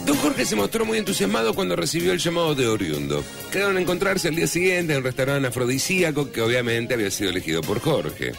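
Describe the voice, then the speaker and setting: A man's voice: fairly high pitch, very animated, fast, gravelly, hardly any pauses. A man with an Argentine accent narrating a comic radio serial in a dramatic scripted style. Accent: Argentine Spanish. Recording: radio narration over loud music.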